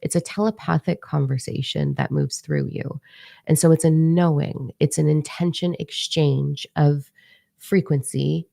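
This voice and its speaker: A woman with a low, gravelly voice, her first language Italian, talks in a loose, rambling monologue full of ums and self-corrections. Her tone is dark and mellow, and the close, dry recording has no room sound. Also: steady tone, a little slow, no echo. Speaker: spiritual channeler. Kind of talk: casual monologue to camera.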